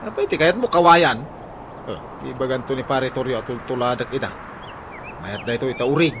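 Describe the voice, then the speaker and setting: Put-upon village husband, played by an actor, noisy background. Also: male voice, mid-range voice, animated, brisk, lightly acted. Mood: defensive. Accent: Ilocano. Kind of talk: Ilocano radio comedy drama acting. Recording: noisy radio drama with ambience.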